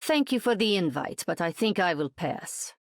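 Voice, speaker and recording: Game role: aloof grown daughter, lowish voice, measured, dryly cutting. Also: female voice, big pitch swings, no echo, clean studio sound. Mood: cool, aloof. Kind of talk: video game character voice lines.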